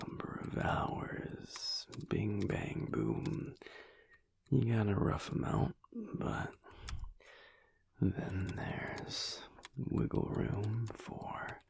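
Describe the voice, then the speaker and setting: A man playing a laid-back, teasing alpha werewolf husband in an intimate audio roleplay, talking softly and slowly with dry humour in a low, gravelly voice. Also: heavily acted. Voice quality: low, husky, gravelly whispery voice. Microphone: close microphone.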